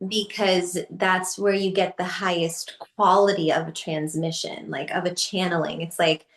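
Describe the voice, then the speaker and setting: A woman's voice: on the low side, normal speed. A woman guest on a podcast, telling stories casually with lots of fillers and little laughs. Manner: chatty, many fillers and laughs, lively quoted asides. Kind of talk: casual conversational storytelling. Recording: echoey room.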